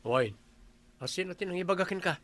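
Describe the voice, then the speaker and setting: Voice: mid-range voice, lively intonation, brisk, fairly bright tone, no room sound, close to an everyday voice. An actor playing a nagging, teasing village husband in a comedy radio drama, with an Ilocano accent. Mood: matter-of-fact. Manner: teasing, scolding.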